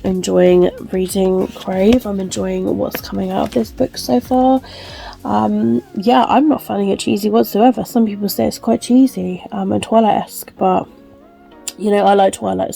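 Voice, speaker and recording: A woman's voice: mid-range voice, creaky, dark tone, rough recording. A young woman chatting casually in a vlog, rambling with lots of ums and likes. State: wheezy, recovering from illness. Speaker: book vlogger.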